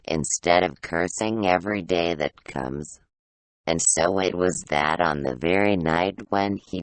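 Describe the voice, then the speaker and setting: Man playing a wistful, deep-voiced ancient baby. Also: a bit bright, no echo, poor audio. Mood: wistful reminiscence. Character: ancient man in a baby's body, wistful, self-pitying. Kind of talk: audio play monologue, storytelling.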